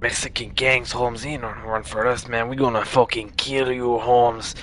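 A man's voice: medium pitch, flat delivery, moderate pace, fairly bright tone, lightly acted. A young man gaming who puts on the strained voice of a swaggering, tough-talking street gangster, tagging his lines with slang. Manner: strained put-on voice, repeated street-slang tags. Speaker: gamer. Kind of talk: gameplay commentary in a put-on character voice.

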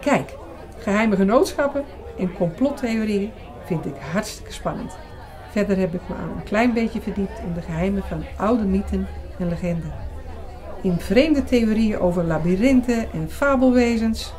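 A woman's voice: medium pitch, lively intonation, normal speed, darkish tone, a faint crowd, close to an everyday voice. An actress as a curious young woman, background murmur. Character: curious, self-assured young woman, keen on mysteries. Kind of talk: audio-drama dialogue.

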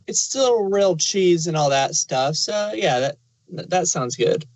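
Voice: medium pitch, animated, a little slow, no echo, low-quality recording. A podcast reviewer chatting casually and puzzling things out aloud. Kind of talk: casual chat reviewing an audio drama.

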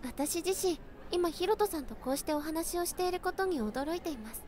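A woman playing a polite, earnest teenage high school girl in an amateur audio drama, speaking in courteous, formal phrasing. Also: expressive, fairly quick, darkish tone. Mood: shy, candid.

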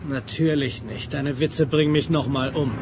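Man playing an urgent, high-voiced action hero. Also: steady tone, normal speed, noisy background, close to an everyday voice. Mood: wry, exasperated. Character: determined action hero, loyal, urgent, wry. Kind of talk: German audio drama acting.

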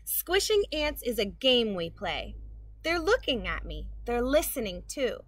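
A woman's voice: a little high, big pitch swings, a little slow, fairly bright tone, no echo, exaggerated voice. A young woman reading the part of a big, boastful, bossy boy in a storybook, with a taunting, emphatic delivery. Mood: accusing. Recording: outdoor ambient noise.

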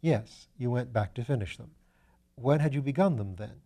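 A man voices a gentleman sleuth in a storybook. He is calm and courteous, coaxing answers with measured questions. The tone is dark and relaxed, at a medium pitch. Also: vocal fry. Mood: quietly triumphant. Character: calm, courteous gentleman detective, patiently probing.

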